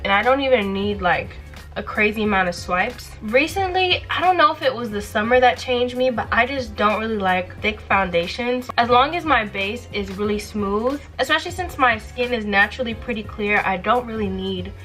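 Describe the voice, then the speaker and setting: A woman's voice: a little high, lively intonation, moderate pace, a bit bright, faint music behind. A young woman chatting casually to her viewers as a beauty vlogger while doing her makeup, getting over a cold. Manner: chatty, playful, talks straight to viewers. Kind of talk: casual get-ready-with-me chat.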